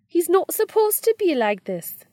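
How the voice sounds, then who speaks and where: A woman's voice: very high voice, big pitch swings, unhurried, a little mellow, dry recording, studio quality, pitched above the performer's own voice, heavily acted. A woman voicing a haughty, exasperated witch with a Scottish brogue in a storybook reading. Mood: exasperated, smug. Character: smirking Scottish witch, grousing, bemused, haughty spellcaster.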